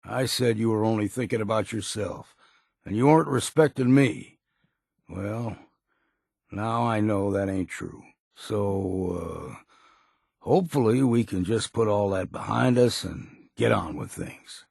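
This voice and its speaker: Gravelly, wry old islander. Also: male voice, fairly low pitch, a little slow, darkish tone, dry recording, clean studio sound, exaggerated voice. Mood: sheepish, apologetic. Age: older. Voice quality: gravelly, husky. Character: grizzled old hard-drinking islander companion, wry and gruff. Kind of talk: video game character voice lines.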